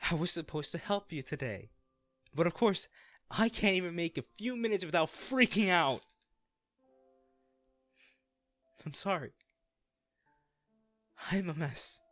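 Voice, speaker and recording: A man acting in an audio roleplay as an anxious, self-deprecating young man, a caring old crush who talks haltingly and intimately to the listener. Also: high-pitched, expressive, a bit bright, no echo, faint music behind. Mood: distressed, apologetic. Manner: hesitant, halting, sighing.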